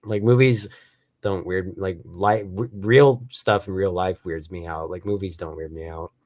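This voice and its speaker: A man with a Dutch accent casually discussing films as a panelist, in a halting way that often repeats itself. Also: sits low, a little mellow, dry recording. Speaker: film review panelist.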